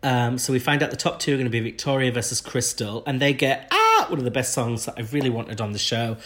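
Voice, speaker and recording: A man co-hosts a podcast and sounds stuffed up from a cold. He talks through a TV episode in casual, opinionated chat, throwing in quick asides. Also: medium pitch, very animated, a bit bright. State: bunged up with a cold. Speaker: podcast co-host.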